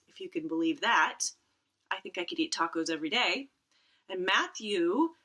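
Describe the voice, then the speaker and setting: A woman's voice: medium pitch, a bit bright. A middle-aged woman, a school librarian, talking and reading aloud to children at home, addressing them directly and drawing out key words. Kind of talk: casual chat and read-aloud storytelling for children.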